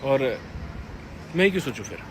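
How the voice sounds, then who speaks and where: A man's voice: mid-range voice, big pitch swings, moderate pace. Aggrieved young man, noisy recording. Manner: aggrieved, repetitive, insistent. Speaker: vlogger. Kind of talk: unscripted personal address to viewers.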